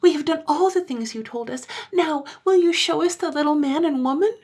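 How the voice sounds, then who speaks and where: A woman's voice: a little fast, darkish tone, natural voice. Desperate pleading children, as a woman reads them. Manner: high, breathless, pleading cries.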